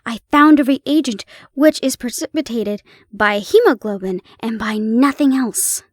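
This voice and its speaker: A woman reading the part of an excitable male detective, exclaiming with fervor. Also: fairly high pitch, a little slow, no room sound, clean studio sound. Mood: elated. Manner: fervent, exclaiming.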